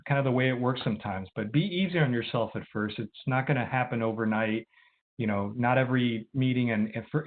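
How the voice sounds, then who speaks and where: Encouraging man on a tinny call. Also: mid-range voice. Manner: casual, encouraging, some restarts. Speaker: panelist. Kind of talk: advice to young professionals. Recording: tinny video call audio.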